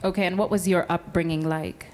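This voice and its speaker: A woman's voice: fairly low pitch, fairly even intonation, a little fast. A woman hosting a live podcast panel, bantering with guests and encouraging them in an exclamatory way. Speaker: podcast host. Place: live event with an audience. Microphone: event microphone.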